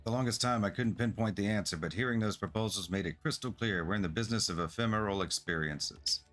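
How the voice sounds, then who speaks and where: Guy reading out an eager earnest fantasy game travel agent, talks real fast, lowish voice, upbeat and businesslike. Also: a bit bright, natural voice. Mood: earnest, determined. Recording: music behind the voice.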